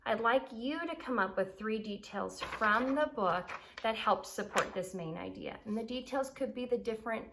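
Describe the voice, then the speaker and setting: A woman's voice: fairly high pitch, normal speed, gravelly, few pauses, noisy background. A woman reading a children's story aloud expressively and explaining it simply as a teacher would.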